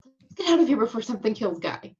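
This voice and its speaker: A woman's voice: on the high side, big pitch swings, fast, a little mellow. A woman playing a wry actress from a sci-fi series in an amateur table read, on a slightly dull lo-fi recording. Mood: alert.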